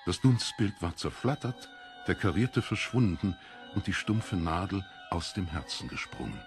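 A man narrating a literary audiobook in a deliberate, expressive, dramatic storytelling manner. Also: sits low, music in the background.

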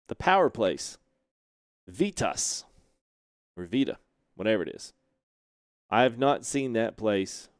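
A man's voice: fairly low pitch, clean tone, no room sound, clean studio sound. Laid-back man streaming a truck sim. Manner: laid-back, chatty, laughs often, reads chat aloud. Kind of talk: casual gameplay livestream chat. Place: home sim-rig setup, indoors. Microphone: headset.

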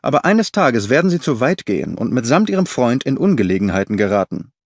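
A man voicing a stern male police inspector, speaking higher and louder than his narration. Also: sing-song, fairly quick, dry recording, studio quality. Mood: reproachful.

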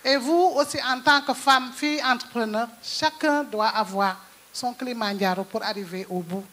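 High-voiced woman moderator, earnest. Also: fairly even intonation, moderate pace, fairly bright tone, slight background noise. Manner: earnest, advocating, listing points. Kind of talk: conference panel moderation and speech. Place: large conference hall on a stage. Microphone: handheld microphone.

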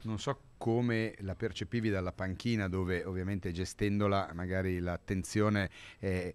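A man's voice: low-pitched, steady tone, unhurried, clean tone. A man working as a TV interviewer, offering comments and questions after a football match. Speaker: TV interviewer and presenter.

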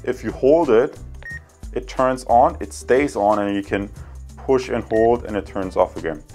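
A man's voice: medium pitch, unhurried, mellow, soft background music. A man casually reviewing and unboxing a gadget indoors, chatty and full of fillers. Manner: chatty, addresses viewers as guys, many fillers. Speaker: tech reviewer. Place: indoor room with wooden table.

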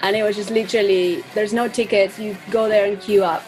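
A young woman talks casually in an interview, with a medium-pitched voice. She sounds like she is on a video call at home, with some music playing underneath. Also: steady tone, fairly quick, a little mellow, poor audio. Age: young adult. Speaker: band musician being interviewed. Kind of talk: casual chat.

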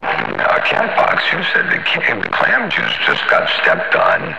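American male CB operator, swinging pitch, gravelly creak, folksy repetitive banter over muffled radio. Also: wildly swooping pitch, slow, a crowd in the background. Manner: folksy, playful, repeats phrases. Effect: CB radio transmission. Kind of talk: casual radio banter in CB slang. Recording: dull, noisy CB radio audio.

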